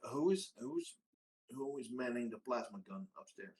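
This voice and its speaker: Animated man playing a tabletop game. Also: mid-range voice, slow, gravelly, low-quality recording. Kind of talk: casual game table chat.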